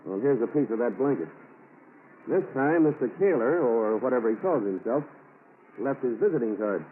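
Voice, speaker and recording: An actor playing a confident, methodical FBI agent in a 1940s radio drama, with a clipped, businesslike manner. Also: male voice, mid-range voice, lively intonation, moderate pace, phone-quality sound, lightly acted. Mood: eager, triumphant. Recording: noisy, band-limited 1940s radio recording.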